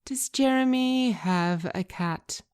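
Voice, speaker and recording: A woman's voice: fairly high pitch, expressive, slow, darkish tone, smooth, voice pushed up. A woman playing an exasperated, stern lawyer with a clipped, reproving manner, in a scripted audio drama. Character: exasperated, stern female lawyer scolding her client.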